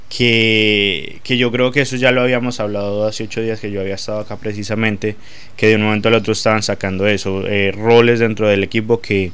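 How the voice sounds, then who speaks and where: Smooth-voiced man from Colombia. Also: medium pitch, fairly bright tone. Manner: hesitant, restarts phrases, ends with tag questions. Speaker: sports pundit. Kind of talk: radio-style football discussion.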